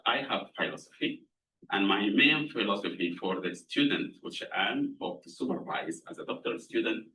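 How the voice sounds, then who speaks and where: A middle-aged man from Sudan, speaking, gives an earnest, measured lab introduction as a professor, pausing to find words. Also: fairly low pitch, fairly bright tone. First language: Arabic. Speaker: professor presenting his lab. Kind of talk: prepared lab introduction talk. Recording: voice somewhat far from mic.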